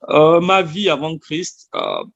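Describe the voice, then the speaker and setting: A man's voice: on the high side, huge pitch swings, takes it slowly, vocal fry, fairly bright tone, no room sound, lo-fi sound. A man from Gabon with a Gabonese accent gives a personal testimony in a conversational way, as an interview guest, repeating phrases for emphasis.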